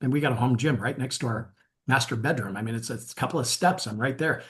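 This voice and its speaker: A man's voice: mid-range voice, fast talker, fairly bright tone. Upbeat male coach leading a webinar. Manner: upbeat, asks viewers to rate themselves. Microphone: webinar mic.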